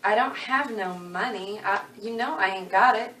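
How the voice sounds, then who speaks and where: A woman's voice: medium pitch, moderate pace, fairly bright tone, natural voice. A woman playing a grieving rural widow in a dramatic novel reading, pleading and defiant in folksy country grammar. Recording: roomy, distant, faint background noise.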